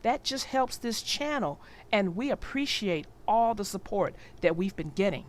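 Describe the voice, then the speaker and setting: A woman reading a children's storybook aloud in an animated storytelling manner. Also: mid-range voice, normal speed. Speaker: storybook narrator.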